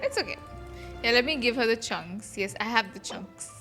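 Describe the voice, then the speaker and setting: A woman game streamer reacting casually and haltingly to a live playthrough, muttering to herself over game music. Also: on the high side, lively intonation.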